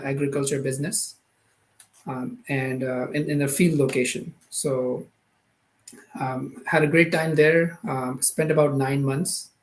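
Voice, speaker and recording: Hesitant Pakistani man telling his story. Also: mid-range voice. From Karachi, Pakistan. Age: 30s. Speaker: podcast guest, product manager. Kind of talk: casual interview storytelling.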